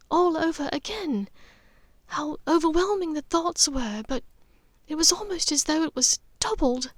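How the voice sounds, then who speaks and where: A woman voicing a haunted, gently resolute witch mother in an audiobook, speaking earnestly and haltingly with care. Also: high-pitched, dry recording, pitched above the performer's own voice. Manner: halting, vehement, careful. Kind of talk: audiobook character dialogue.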